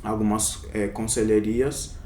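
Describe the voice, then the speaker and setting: Young adult man from Brazil, interview guest; hesitant and reflective, mid pitch, a bit distant from the mic in a roomy space. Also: level tone, measured pace, darkish tone. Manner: reflective, many hesitations and fillers. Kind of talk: casual interview. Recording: echoey room, somewhat off-mic, faint rumble.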